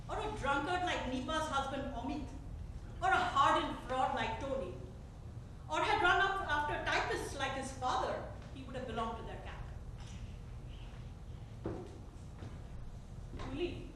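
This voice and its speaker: A woman in a staged reading, playing an unwell, grieving middle-aged mother who is quietly defiant and bitter. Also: high voice. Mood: wounded, bitter. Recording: echoey theater from far off, faint noise.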